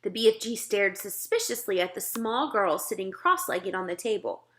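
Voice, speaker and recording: A woman's voice: mid-range voice, animated, moderate pace, fairly bright tone. A woman narrating a children's storybook aloud in a scripted read-aloud style. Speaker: storybook reader.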